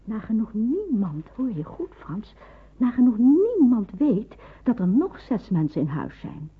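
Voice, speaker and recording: An actress in a Dutch radio drama playing a watchful, practical nurse in hiding, explaining and cautioning. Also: female voice, fairly high pitch, sing-song, measured pace, breathy edge, heavily acted. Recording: narrow phone-like old radio recording.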